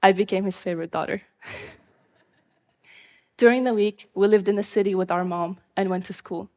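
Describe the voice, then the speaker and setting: A young woman from Syria telling her own life story live, in an earnest, measured way. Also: medium pitch, fairly even intonation, a little mellow.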